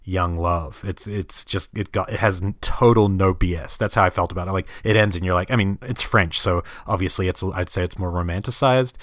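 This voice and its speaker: A man from New Zealand with a deep voice hosts a casual film podcast. He thinks aloud in a rambling, halting way. The sound is very dry, with no room echo. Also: fairly bright tone.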